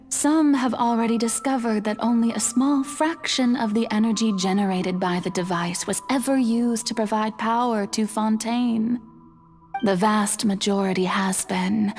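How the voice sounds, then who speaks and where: A woman voicing a centuries-old female god in a video game, serene and quietly resolute, with a teasing, theatrical, formal manner. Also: mid-range voice, lightly acted. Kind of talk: dramatic video game voice acting. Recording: music behind the voice. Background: music.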